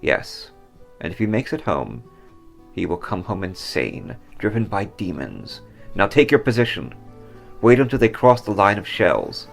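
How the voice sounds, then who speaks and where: Man acting a gravelly, stern army captain. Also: deep voice, sing-song, a little slow, soft background music, exaggerated voice. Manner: grave, barking orders. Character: stern one-eyed Japanese army captain, fatalistic and commanding.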